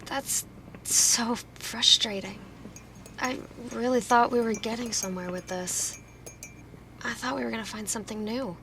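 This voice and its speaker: A woman's voice: fairly high pitch, steady tone, unhurried, pushed and bright, a little hiss or hum. A woman playing an earnest, wounded but hopeful helper in a naturalistic audio drama. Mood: disappointed.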